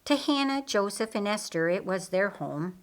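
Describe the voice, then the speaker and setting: A woman's voice: medium pitch, animated, normal speed. A woman reading a story aloud as an audiobook narrator, in scripted storytelling style.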